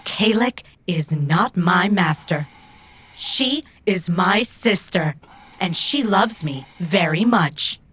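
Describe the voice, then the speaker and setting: A loyal, proud female robot from a cyberpunk radio play. Her voice is husky and on the low side. She speaks slowly, choosing formal, exact words. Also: animated, a bit bright, full character voice. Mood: measured, offended. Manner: formal, precise, measured phrasing. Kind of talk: cyberpunk audio drama acting.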